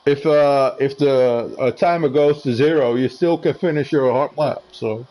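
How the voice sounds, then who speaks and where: Dutch-accented male co-commentator, mellow tone, halting delivery with fillers. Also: mid-range voice, low-quality recording. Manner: hesitant, many fillers. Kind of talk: casual sim racing commentary.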